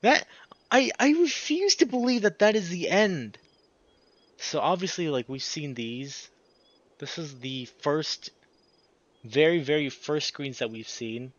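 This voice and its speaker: A man's voice: on the high side, lively intonation, a little slow, no room sound, highs cut off. A man giving casual, emotional gameplay commentary as a let's play host, effusive and exclamatory, drawing out his words over game music.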